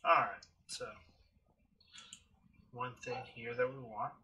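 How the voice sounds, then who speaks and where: Bright, forceful male gaming streamer. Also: medium pitch, slow pace, a little hiss or hum. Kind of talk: casual playthrough commentary.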